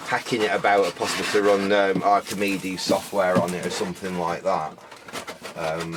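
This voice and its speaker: A deep-voiced man chats about secondhand finds, rambling along with plenty of ums and "like I said". His voice sounds a little croaky, with a faint hum of ambient noise behind him. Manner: rambling, repeats "like I said", many ums. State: slightly croaky voice. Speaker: vlogger showing car boot finds. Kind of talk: casual chat to camera.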